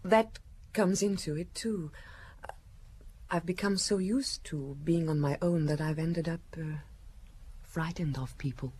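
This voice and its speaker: An actress playing a secretive, languid young woman in an audio drama, coquettish and timid, with hesitant pauses. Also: low-pitched, warm tone. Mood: wistful. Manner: hesitant, breathy pauses, coy.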